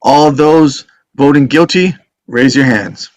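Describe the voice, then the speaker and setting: A man's voice: medium pitch, slow, no echo, natural voice. A man playing an orderly, matter-of-fact jury foreman in a table read of a stage drama. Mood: procedural.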